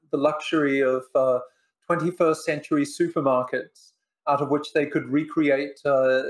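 An older man whose first language is Polish, a professor and author answering interview questions on a video call from his living room, measured and reflective with many pauses. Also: fairly high pitch. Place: living room in front of bookshelves. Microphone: video call webcam.

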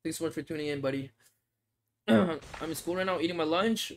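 A man's voice: a little high, moderate pace. A young man streaming a mobile shooter, chatting loosely with viewers, reading chat aloud and swearing casually into a headset mic. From Philippines. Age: young adult. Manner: laid-back, rambling, reads chat aloud, swears casually. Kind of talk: casual livestream chat while playing. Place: small room indoors. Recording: game audio noise behind voice. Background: game sounds and clicks.

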